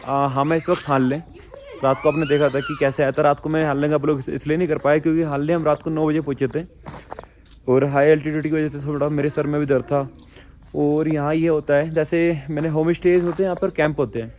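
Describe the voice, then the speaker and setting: A young man vlogging on a trip, his throat a little hoarse, talking bluntly and chattily straight to his viewers. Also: fairly high pitch, little pitch movement, quick pace, darkish tone, a little hiss or hum. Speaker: travel vlogger. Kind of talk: casual travel vlog talk.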